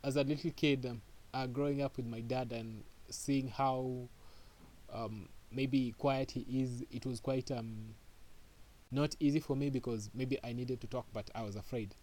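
A man's voice: medium pitch, steady tone, unhurried, a little mellow, low-quality recording. A man from Kenya, a podcast guest answering questions casually and hesitantly, with many fillers and restarts.

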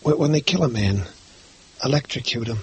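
An actor playing a condemned man awaiting execution, speaking quietly and flatly in a 1950s radio drama. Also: medium pitch, normal speed, breathy edge, poor audio. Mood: numb, hollow. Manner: quiet, flat, hollow. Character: condemned young man awaiting the electric chair, numb.

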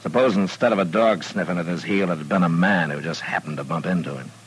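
An American actor playing a steady, dry-humored marshal in an old radio western, speaking in a laconic, firm way. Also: male voice, low-pitched, fast, husky, low-quality recording. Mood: grave. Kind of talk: 1950s radio western acting and narration. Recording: old radio drama with music.

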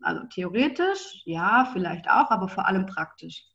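A woman's voice: medium pitch, very animated, moderate pace, fairly bright tone, muffled recording. A young German woman, a podcast guest, speaking fluently and thoughtfully. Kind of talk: casual interview.

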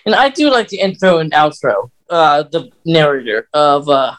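A podcast host chatting casually, giving opinions in a hesitant, halting way. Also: medium pitch, expressive, a bit bright. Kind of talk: casual film-fan chat.

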